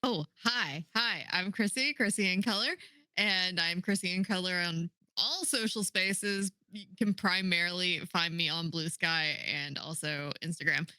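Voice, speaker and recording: Bright-voiced, jokey woman. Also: lowish voice, unhurried, no room sound, poor audio. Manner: informal, jokey. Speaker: guest player. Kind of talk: casual sign-off with plugs.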